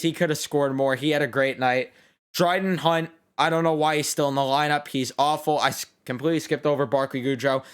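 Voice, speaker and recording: A young man runs through a late-night post-game reaction, blunt and opinionated, with a fair amount of swearing. He sounds worn out, talking in a somewhat high voice with a smooth, clear tone. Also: fairly bright tone. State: tired and losing his voice. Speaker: sports reaction host.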